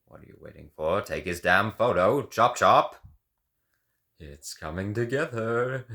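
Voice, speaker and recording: A man voicing a flamboyant, unhinged male artist whose theatrical delivery swings between hushed whispers and shrieks. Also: fairly low pitch, expressive, measured pace, pitched above the performer's own voice, full character voice. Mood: mocking. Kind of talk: video game character lines.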